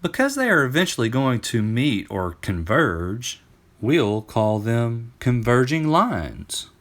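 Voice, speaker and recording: A man reading a scripted educational storybook aloud to students as a teacher, in an expressive, deliberate way. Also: medium pitch.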